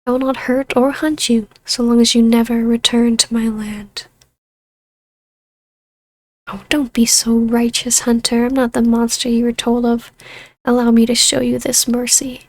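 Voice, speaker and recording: A woman voicing a taunting, imperious vampire baroness in a scripted ASMR roleplay, speaking in a measured, hushed voice that slips into whispers. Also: on the high side, flat delivery, no echo, studio quality. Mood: stern, merciful. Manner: slow, hushed, breathy delivery slipping into whispers. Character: vampire baroness, ancient huntress turned ruler, taunting, imperious, regal.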